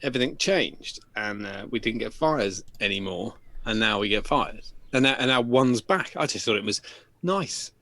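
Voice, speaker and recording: A man's voice: fairly low pitch, expressive, normal speed, sharp tone. A man co-hosting a show in casual banter, with a sweary, sardonic, joking manner. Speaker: show co-host presenting news.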